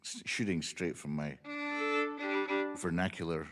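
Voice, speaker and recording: A man with a low voice answers from the panel with keen enthusiasm. He tells his stories eagerly. The sound trails off in a hall with a long reverb. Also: fairly even intonation, a little slow, loud music behind the voice. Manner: enthusiastic storyteller. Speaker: panelist, performer and teacher. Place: symposium hall.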